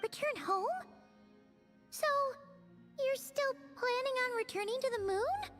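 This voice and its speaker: A woman voicing a tiny, perky, childlike female fairy sidekick in a video game, with a squeaky, bubbly, excitable manner. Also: a little slow, music in the background. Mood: curious, surprised. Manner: squeaky, bubbly, speaks of herself in third person.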